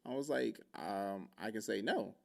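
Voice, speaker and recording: A man's voice: on the low side, a little slow, vocal fry. A young man from Oahu telling a casual story, acting out quoted lines in shifting voices. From Hawaii, Oahu. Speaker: podcast host.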